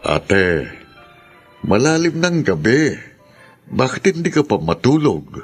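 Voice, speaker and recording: An actor playing a gentle older uncle in a radio drama, with a husky voice. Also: male voice, mid-range voice, very animated, takes it slowly, soft background music. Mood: concerned, soothing. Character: gentle older uncle, concerned younger brother.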